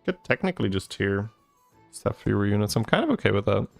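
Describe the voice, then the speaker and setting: A man, a Dutch speaker, giving casual gameplay commentary as a streamer, thinking aloud in a low-key, musing way. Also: big pitch swings, fairly quick, gravelly, soft background music. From Belgium.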